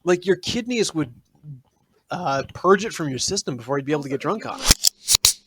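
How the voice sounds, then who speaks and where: A man co-hosting a casual podcast, reviewing drinks in a wry, deliberate way. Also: a little high, animated, heavy background noise. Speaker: podcast co-host. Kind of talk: casual chat. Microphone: studio mic.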